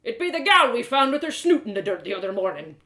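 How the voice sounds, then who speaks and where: Give me a woman acting out a gruff old village blacksmith from a storybook. He is stocky and blustering, with rustic turns of phrase and big swings in pitch. The sound is a little roomy. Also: very animated, fast, pushed and bright. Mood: hearty, teasing. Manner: rustic dialect, blustering exclamations. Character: gruff, stocky, red-bearded old male blacksmith, scolding yet genial. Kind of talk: storybook character voice.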